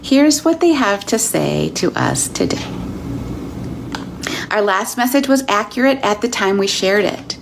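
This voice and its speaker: A woman earnestly reading out a channeled message in a calm, measured way. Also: medium pitch, creaky, slight background noise. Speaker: self-styled channeler.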